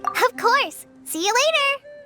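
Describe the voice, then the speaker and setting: A sweet, shy teenage girl from an anime game. Her very high voice lilts with expression and is polite and a little hesitant. Music sits faintly behind it. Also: lively intonation, slow pace, heavily acted. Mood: friendly. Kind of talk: English anime game dub.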